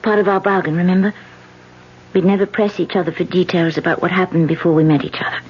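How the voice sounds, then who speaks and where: An actress playing an anxious young woman running for office who hides a past killing, with a halting, fearful stammer, in a 1970s radio drama on a dull vintage recording. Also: fairly low pitch, steady tone, a little hiss or hum, natural voice. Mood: uneasy.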